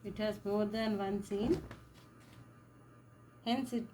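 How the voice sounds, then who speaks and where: A woman teaching a lesson on a play, talking slowly and repeating words and phrases as she writes. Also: mid-range voice, fairly even intonation, dark tone, slight background noise. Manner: slow, repeats words and phrases while writing. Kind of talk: classroom lesson explaining a play.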